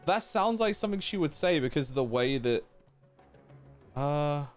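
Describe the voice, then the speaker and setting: A man's voice: on the high side, lively intonation, normal speed, smooth, faint music behind. A man streaming a game, giving casual, slangy reaction commentary in wry, offhand asides.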